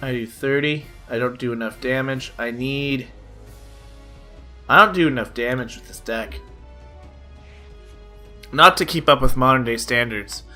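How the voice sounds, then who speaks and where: A man's voice: medium pitch, measured pace, long pauses, pushed and bright. A young man giving chatty play-by-play commentary on a card game, slightly nasal from a cold, with lots of "uh" fillers. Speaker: gaming YouTuber. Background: background music.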